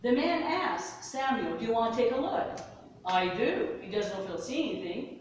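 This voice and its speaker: A soft-spoken woman narrates folk tales, her pitch jumping up at surprising turns. She sounds far from the microphone in a very echoey hall. Her voice sits a little high for a woman. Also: moderate pace, a little hiss or hum. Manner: soft-spoken, animated storytelling with sudden pitch lifts. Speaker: storyteller. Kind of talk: oral storytelling to a live audience.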